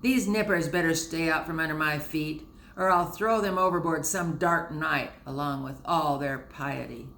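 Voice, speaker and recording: A woman voicing a huge, scornful male sailor who growls and booms threats and mockery. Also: big pitch swings, unhurried, hardly any pauses, fairly bright tone, roomy sound, slight background noise. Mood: menacing. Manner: growling, booming, mocking.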